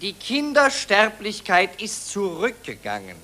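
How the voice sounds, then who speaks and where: Ein Schauspieler spielt in einem deutschen Hörspiel der 1940er einen pompösen Medizinprofessor, der deklamatorisch doziert. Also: male voice, high-pitched, wildly swooping pitch, bright, forceful tone, exaggerated voice. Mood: lecturing, assured. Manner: deliberate lecturing, oratorical. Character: pompous German professor of medicine defending wartime privation. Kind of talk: 1940s German radio play, declamatory speech. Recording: noisy 1940s radio recording.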